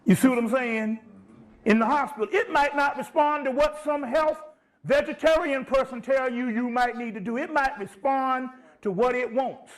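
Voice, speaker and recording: High voiced guy doing an informal spiritual lecture, emphatic n chatty, keeps checking ur following. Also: moderate pace, rough recording. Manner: emphatic, profane, conversational, often checking listeners follow.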